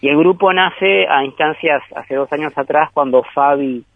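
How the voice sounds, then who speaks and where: A man's voice: fairly high pitch, moderate pace, creaky, no room sound. A man, a guest filmmaker, talking through his group's work in a rambling, thoughtful way with many restarts. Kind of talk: conversational interview over the phone. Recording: tinny phone line.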